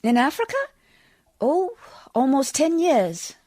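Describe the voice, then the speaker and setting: A woman's voice: high voice, big pitch swings, slow, dark tone, heavily acted. A woman acting in a radio play as a prim, determined missionary lady, speaking in a formal, proper and resolute manner. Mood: polite, wistful.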